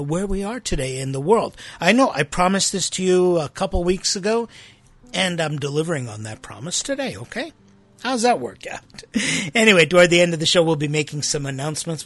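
A man's voice: fairly high pitch, a bit bright, breathy edge. A man who hosts a church talk show, chatting casually about faith and often tossing in rhetorical tag questions. His first language is Armenian, and he grew up in the United States.

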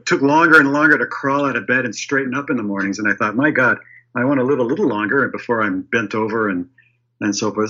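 Bright-toned man in his fifties, reflective. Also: medium pitch. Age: 50s. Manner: thoughtful, restarts and hedges, laughs lightly. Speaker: primate of a diocese. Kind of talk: interview answers. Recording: slightly dull lo-fi audio.

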